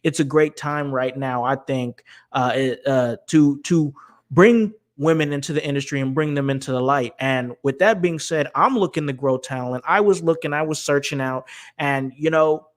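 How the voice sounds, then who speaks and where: A man's voice: fairly high pitch, moderate pace. Chatty man hosting a wrestling show. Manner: rambling, many restarts and fillers. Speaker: podcast host. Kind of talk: casual livestream chat.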